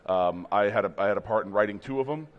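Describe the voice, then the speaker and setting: A hoarse man leading a game demo team, talking casually with many restarts. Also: quick pace, dull audio. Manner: rambling, many restarts, upbeat pitch. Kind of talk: casual chat.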